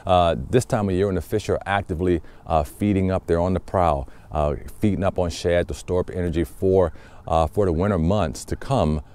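A man hosting a fishing channel, explaining technique in a relaxed, casual way with many fillers while out on a boat on a lake. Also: low-pitched, normal speed, clean tone. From Southwest Ohio.

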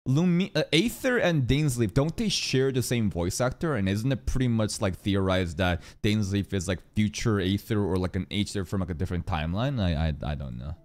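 Excitable young man, lively pitch. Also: brisk, hardly any pauses. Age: young adult. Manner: profane, slangy, excitable. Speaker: streamer reacting to game video. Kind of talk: casual live reaction commentary. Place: small room indoors. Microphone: desk microphone on boom arm, close. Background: music from the video.

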